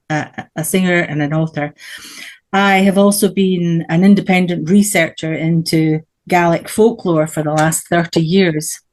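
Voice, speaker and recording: A middle-aged Scottish woman, a guest author and singer, telling her story at a measured pace in an interview over a video call. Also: fairly low pitch, a little mellow. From Scotland.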